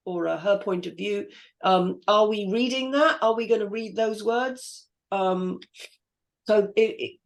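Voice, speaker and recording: A woman hosting a script reading panel and giving notes on formatting. She thinks aloud and hesitates with frequent fillers. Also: medium pitch. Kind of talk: casual feedback discussion.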